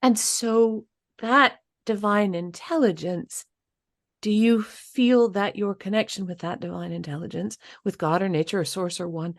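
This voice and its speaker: A woman hosting an interview, asking thoughtful, measured questions. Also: mid-range voice. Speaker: host.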